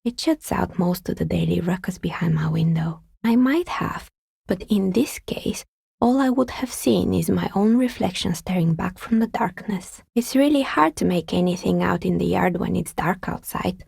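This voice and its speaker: A woman playing a skeptical, world-weary artisan in a video game, with dry, sardonic and politely measured delivery. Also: on the low side, animated, creaky, darkish tone, husky, dry recording, heavily acted. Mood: polite, matter-of-fact. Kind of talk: video game dialogue acting.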